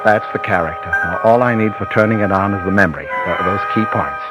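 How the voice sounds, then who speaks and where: An actor playing a scheming prisoner who feigns guilt-crazed madness, in melodramatic vintage radio drama style, swinging between muttered asides and rants. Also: male voice, lowish voice, quick pace, loud music behind the voice, lightly acted, low-quality recording. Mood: gleeful scheming.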